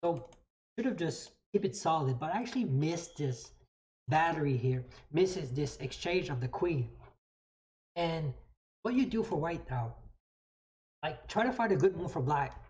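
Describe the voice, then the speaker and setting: A French Canadian speaker whose first language is French, casually explaining chess moves on a live stream, halting and repeating phrases while thinking aloud. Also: mid-range voice, animated, darkish tone. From New Brunswick, Canada.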